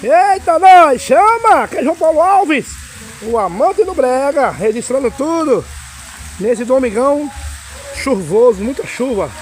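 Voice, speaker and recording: A hoarse man, excitedly exclaiming as he films his farm outdoors in the rain on a phone. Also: very high voice, a little slow, slight background noise. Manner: excited, exclaiming and singing along to music. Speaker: vlogger filming his farm. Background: music playing.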